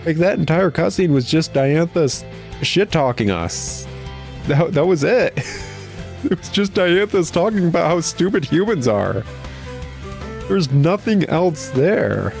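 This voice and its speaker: A man's voice: medium pitch, vocal fry, a little mellow. Exasperated, sarcastic man commenting over game music. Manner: exasperated, sarcastic, blunt complaining. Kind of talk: casual game critique commentary, reading cutscene dialogue aloud.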